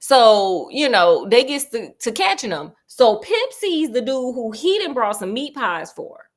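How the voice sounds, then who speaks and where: A woman with a fairly high voice tells a classic story in a loose, chatty way. She keeps breaking off with sassy asides. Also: sing-song, a little slow. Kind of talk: casual comic story retelling.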